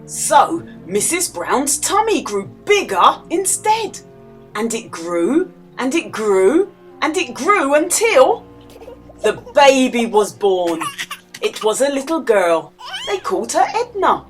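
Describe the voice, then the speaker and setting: A woman reading a children's storybook aloud as a storyteller, with dramatic emphasis and deliberate pauses for young listeners. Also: sits high, sing-song, faint music behind. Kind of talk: expressive children's storybook read-aloud with quiz.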